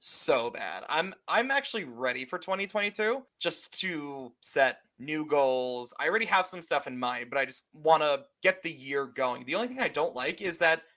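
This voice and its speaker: A man's voice: a little high, expressive, normal speed, few pauses, sharp tone. A man of about thirty co-hosting a podcast in casual chat, swearing freely and acting out his thoughts as he tells stories. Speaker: podcast co-host.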